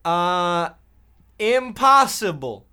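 A blunt, naive boy acted by a man in a comic radio play. His voice sits high, and he delivers his lines slowly, with halting, stilted phrasing. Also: big pitch swings, pushed and bright, smooth, exaggerated voice. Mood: deadpan.

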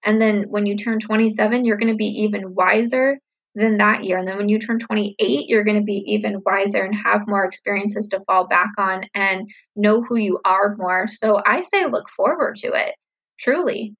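Husky-voiced chatty woman in her thirties. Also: mid-range voice, moderate pace, a bit bright, lo-fi sound. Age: 30s. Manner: upbeat, chatty, reflective with many fillers. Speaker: vlogger answering viewer questions. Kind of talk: casual Q&A chat to camera.

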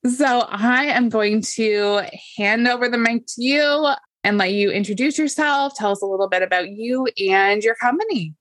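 A woman's voice: fairly high pitch, moderate pace, a bit bright, no room sound. A woman hosting a podcast, talking in an upbeat, friendly way with her guest. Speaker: podcast host. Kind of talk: casual podcast interview.

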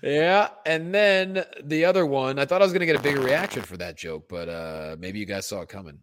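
A man's voice: mid-range voice, animated, a little fast. A man telling a comic story on a casual podcast, slipping into voices for the people he quotes. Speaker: podcast host, comedian.

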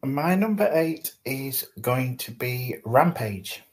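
Mid-pitched man in casual chat. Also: animated, slow pace. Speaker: podcast panelist.

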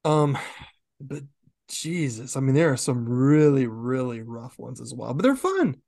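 A man's voice: mid-range voice, expressive, measured pace, creaky, a little mellow, no room sound, clean studio sound. A man co-hosting a horror podcast, explaining casually with lots of fillers and easy laughs. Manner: chatty, laughs easily, filler-heavy explaining. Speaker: podcast co-host.

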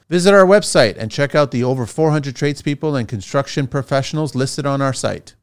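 Easygoing man hosting a podcast, smooth voice. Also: mid-range voice. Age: middle-aged. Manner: easygoing, reads promos in a brisk run. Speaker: podcast host. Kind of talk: casual interview chat.

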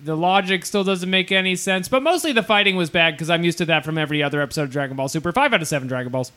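A man's voice: fairly high pitch, rapid-fire, pushed and bright. A man co-hosting a casual podcast, riffing jokes and laughing often. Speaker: podcast co-host. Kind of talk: casual comedic chat.

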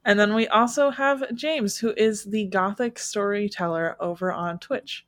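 A woman's voice: mid-range voice, unhurried, dry recording. Chatty woman podcast host, dry sound. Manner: chatty, frequent "like" fillers, animated. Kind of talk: casual podcast chat.

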